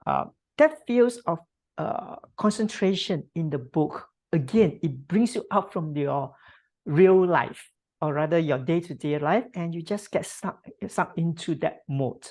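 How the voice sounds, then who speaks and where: Thoughtful woman guest, lowish voice. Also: lively intonation, a little slow, a little mellow. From Singapore. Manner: thoughtful, many fillers, tag questions. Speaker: podcast guest. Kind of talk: reflective interview answers.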